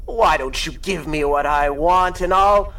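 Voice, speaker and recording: High, theatrical gloating supervillain. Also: male voice, fairly bright tone, recorded from a distance. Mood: taunting, gleeful. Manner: mocking, drawn-out, theatrical, frequent cackling. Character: gloating sadistic male supervillain, a theatrical maze master. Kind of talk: radio drama acting in 1930s pulp style.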